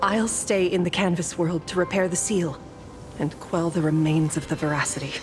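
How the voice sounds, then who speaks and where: A woman's voice: fairly low pitch, fairly even intonation, moderate pace, lightly acted. A woman voicing a composed, protective fighter in a game, speaking with resolve. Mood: resolute. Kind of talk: scripted game voice acting. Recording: background music under the voice. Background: music.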